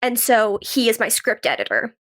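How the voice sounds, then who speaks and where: A woman's voice: fairly high pitch, very animated, normal speed, a bit bright. A woman speaking informally and reflectively as an interview guest, explaining things through anecdotes. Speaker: podcast guest, writer and actress. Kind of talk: casual interview conversation.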